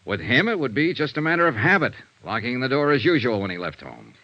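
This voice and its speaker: An actor playing a shrewd, cool-headed investigator in 1950s radio detective drama, with a brisk, hard-boiled, confident way of reasoning. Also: male voice, medium pitch, sing-song, dry recording. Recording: dull vintage radio recording.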